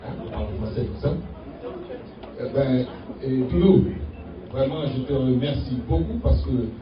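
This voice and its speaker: A man's voice: mid-range voice, expressive, normal speed. A man from French Guiana giving a heartfelt, grateful acceptance speech as a promoter, repeating words for emphasis. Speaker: promoter receiving an award. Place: event hall. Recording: distant, echoey, dull, noisy crowd hall.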